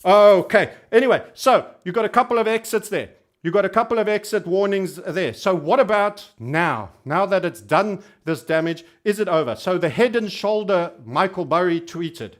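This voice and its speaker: High-pitched man doing emphatic market commentary. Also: animated, normal speed. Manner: emphatic, repeats phrases for stress; cheeky, colourful storyteller. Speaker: YouTube trading host.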